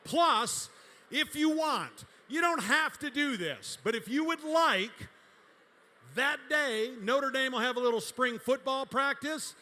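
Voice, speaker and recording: A man working as auctioneer and emcee at a charity gala, calling bids in a rapid-fire, booming delivery over a microphone in a large hall. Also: expressive, bright, forceful tone. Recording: reverberant hall with music.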